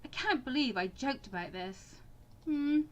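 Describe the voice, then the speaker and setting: A woman voicing an anxious, wryly sarcastic bookish teenage girl in an expressive, introspective visual novel reading. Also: sits high, a little slow, dull audio. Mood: anguished.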